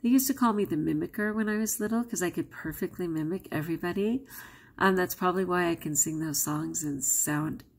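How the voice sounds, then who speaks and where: A woman's voice: lowish voice, a little fast, creaky, slightly rough. A woman, not a native English speaker, talking candidly to her camera in a chatty vlog. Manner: candid and chatty, with frequent laughs. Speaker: vlogger. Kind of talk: casual vlog talk to camera.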